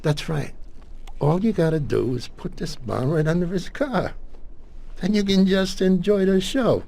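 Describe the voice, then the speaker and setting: An extremely creaky, husky mob armourer for a video game. His voice sits on the low side, and he sounds eager as he talks shop about deadly tools. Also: unhurried, warm tone, exaggerated voice. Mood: eager, scheming. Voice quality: gravelly, husky. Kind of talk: video game cutscene acting.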